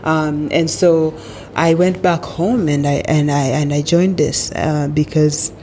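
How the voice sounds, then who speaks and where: A woman from Tanzania, a guest in an interview, talking in an animated way with quick laughs and many fillers. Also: low voice, a little hiss or hum. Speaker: edtech guest. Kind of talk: casual interview chat.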